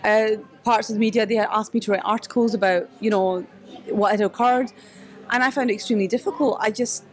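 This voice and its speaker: A woman's voice: medium pitch, steady tone, brisk, soft background music. Hesitant woman reflecting. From Scotland. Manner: hesitant, many restarts and fillers. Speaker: guest, photographer and activist. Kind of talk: reflective interview answers. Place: cafe.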